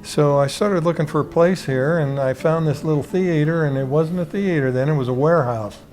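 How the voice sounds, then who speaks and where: A man's voice: a little high, fairly quick, a little mellow, low-quality recording. Middle-aged man reminiscing, faint guitar behind. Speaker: theater owner being interviewed. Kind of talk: casual reminiscing interview.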